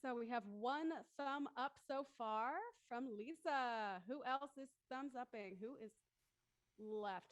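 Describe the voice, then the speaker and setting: A woman's voice: fairly high pitch, slow pace, no room sound. A woman hosting a live show in an upbeat, casual style. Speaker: host of a live audio drama show.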